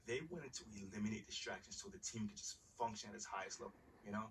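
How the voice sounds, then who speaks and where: A man plays a young American male basketball star in a game cutscene, slangy and quick-spoken. He sounds confident and conversational, slightly off the mic with faint noise. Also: fairly low pitch, far from the mic, close to an everyday voice. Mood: earnest, candid. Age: young adult. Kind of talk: casual cinematic video game dialogue.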